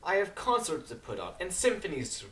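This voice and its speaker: A man's voice: sits high, huge pitch swings, fairly quick, fairly bright tone, echoey room, heavily acted, poor audio. A man voicing a haughty, prim female cartoon pony, a classical musician with a formal, disdainful way of talking.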